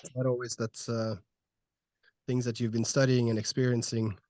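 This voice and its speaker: Halting male interviewer, dry close sound. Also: mid-range voice, flat delivery, darkish tone, no echo. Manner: halting, hesitant phrasing with many pauses. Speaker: interviewer, host. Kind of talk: interview questions.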